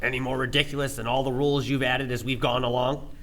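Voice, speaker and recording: A man playing a sardonic starship pilot with a dry, deadpan manner in a sci-fi radio play. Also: mid-range voice, quick pace, natural voice. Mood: sarcastic. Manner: dry, sarcastic. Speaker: actor.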